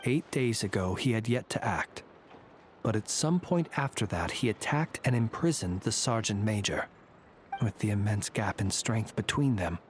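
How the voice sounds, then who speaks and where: An actor playing a courteous, composed male investigator in a video game, speaking in a measured, formal way. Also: lowish voice, slight background noise, natural voice.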